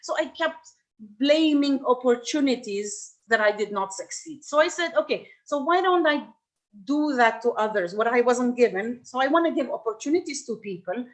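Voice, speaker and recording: Middle-aged woman from Lebanon, workshop speaker, thoughtful and halting delivery, slightly high voice. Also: expressive. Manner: reflective, hesitant, with frequent restarts and fillers. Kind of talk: personal talk to a workshop.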